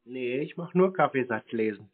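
A man giving terse, deadpan replies on a phone call. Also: mid-range voice, moderate pace. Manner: laconic, deadpan. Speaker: prank callee of scam calls. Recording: narrowband phone call audio.

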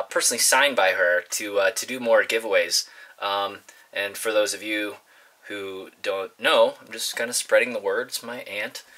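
A man in his thirties vlogging casually, informal and halting as he thinks aloud to his viewers. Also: fairly low pitch, pushed and bright. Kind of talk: casual, rambling vlog chat to viewers. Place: inside a parked converted school bus.

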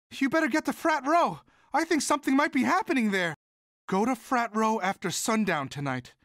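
A man's voice: extremely high pitch, a bit bright, no echo, clean studio sound, heavily acted. A man voicing a timid, sweet, eager young student monster in a video game, boyish, polite, earnest and hesitant. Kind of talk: video game character voice lines.

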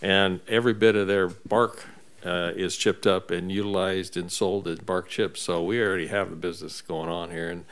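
A man's voice: low-pitched, steady tone, normal speed, a bit bright, clear, resonant tone. A man chairing a city council meeting, brief and procedural with a folksy touch. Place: council chamber.

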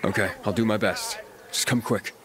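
An actor playing a wary, dry-witted male survivor in a video game, with a gravelly voice. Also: on the low side, steady tone, moderate pace, crowd noise, lightly acted. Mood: doubtful.

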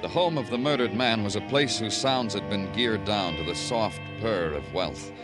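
A man's voice: fairly low pitch, normal speed, lightly acted. An American man playing a hard-boiled, world-weary police detective in an old radio crime drama, with clipped, wry, florid delivery. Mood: brooding, wry. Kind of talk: old-time radio crime drama acting, noir narration. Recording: old radio broadcast with music underneath.

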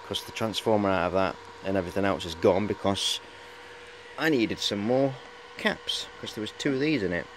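A man in his forties with a fairly low voice gives a casual, rambling show-and-tell. He is matey and hesitates often as he goes. It is recorded on a handheld camera indoors, with some noise behind him. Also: normal speed. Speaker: hobbyist showing high-voltage equipment. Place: cluttered indoor workshop. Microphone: camera at arm's length.

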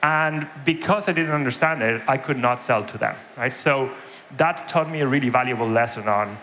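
A man from Spain with a Spanish accent shaded by Irish English, in his thirties, giving a conference keynote in a lively storytelling manner and often adding "right?" to his points. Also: mid-range voice, moderate pace, slight background noise. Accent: Spanish with Irish influence. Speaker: conference speaker. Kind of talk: keynote talk with anecdotes. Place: large dark conference stage.

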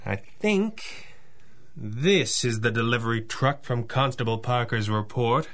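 A masked male vigilante who leads the investigation in a 1930s-style radio serial. He sounds mid-pitched and measured. His manner is dry, analytical and a little mysterious. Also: lively intonation, a bit bright. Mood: wry, guarded.

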